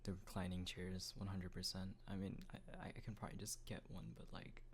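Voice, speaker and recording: A man, a voice actor, answering fan questions in a soft, half-whispered way, hesitant and full of pauses. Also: low voice, flat delivery, slight background noise. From Texas, US. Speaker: voice actor, channel host.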